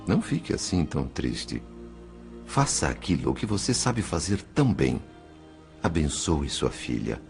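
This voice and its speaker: A man plays a compassionate narrator in a dramatized audiobook. His low voice moves expressively up and down in measured storytelling, with faint music behind. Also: big pitch swings, creaky, a little mellow, heavily acted. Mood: consoling. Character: compassionate spirit chronicler narrating what he witnessed. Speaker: narrator and character.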